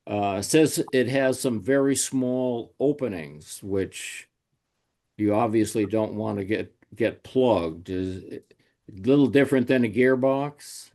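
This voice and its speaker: A man asking casual questions in a tentative, halting way on a group conference call. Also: medium pitch, a little mellow.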